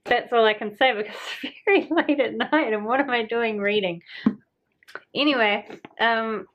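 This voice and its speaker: A woman's voice: a little high, moderate pace. A woman chatting casually to camera as a book vlogger, rambling along with self-deprecating, trailing asides. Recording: slightly dull lo-fi home recording.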